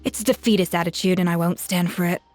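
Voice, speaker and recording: A woman's voice: on the low side, fairly even intonation, fast, darkish tone, faint music behind, lightly acted. An actress playing a proud, exacting young woman chef in a video game, speaking in a firm, articulate, self-assured way. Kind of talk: video game cutscene voice acting.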